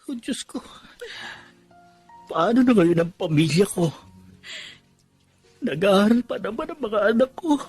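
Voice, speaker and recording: A man's voice: sits high, expressive, a little slow, long pauses, darkish tone, slightly rough, soft background music, exaggerated voice. An actor playing a tenderhearted, hardworking Filipino husband and father in an earnest, emotional radio drama. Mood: pained, despairing.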